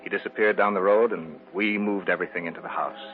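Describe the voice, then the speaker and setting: An actor playing a reflective writer narrating an eerie tale, with measured theatrical delivery, in an old-time radio drama. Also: male voice, low-pitched, fairly even intonation, lightly acted. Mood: reflective, ominous. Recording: dull old radio recording with music.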